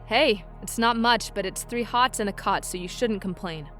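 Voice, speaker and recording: A woman's voice: mid-range voice, moderate pace, fairly bright tone, soft background music, lightly acted. A woman playing a sassy, boastful human spacefarer in a space comedy audio drama, brash and scornful. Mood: cocky.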